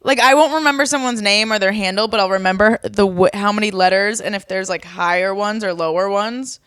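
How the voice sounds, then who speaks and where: A woman's voice: medium pitch, fairly quick, fairly bright tone, clear, resonant tone, no room sound, studio quality. A young woman from Boston co-hosting a podcast, rambling and musing in casual chat. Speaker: podcast co-host. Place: Miami home.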